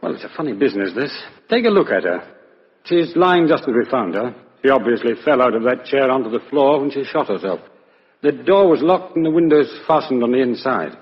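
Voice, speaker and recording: Police doctor male actor: British, brisk, emphatic, lively pitch, echoey old radio drama sound. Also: natural voice. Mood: dry, emphatic. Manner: emphatic, explanatory, dry. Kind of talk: 1950s radio drama acting. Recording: muffled, echoey 1950s radio recording.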